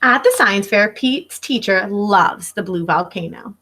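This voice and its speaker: A woman's voice: mid-range voice, measured pace, pushed and bright, slight background noise. A woman in her thirties reading a picture book aloud as a teacher, expressively and instructively, speaking directly to young listeners. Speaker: elementary school teacher. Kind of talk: read-aloud storytelling for children. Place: living room at home.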